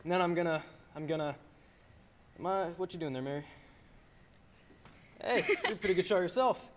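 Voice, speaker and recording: A man's voice: sits high, expressive, brisk, a little hiss or hum. A young man acting an eager, teasing small-town dreamer in a radio play, boyish and stammering when flustered. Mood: eager, dreamy. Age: young adult. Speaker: student actor.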